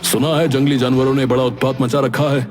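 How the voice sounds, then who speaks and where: A man voicing a matter-of-fact cartoon village police inspector with a brisk, official manner. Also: mid-range voice, little pitch movement, music in the background, lightly acted. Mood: businesslike. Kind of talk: Hindi cartoon voice acting.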